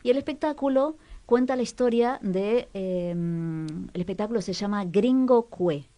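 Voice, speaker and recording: A woman presenting cultural recommendations on the radio in a casual, conversational way, pausing to search for words and repeating some for emphasis. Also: medium pitch, mellow.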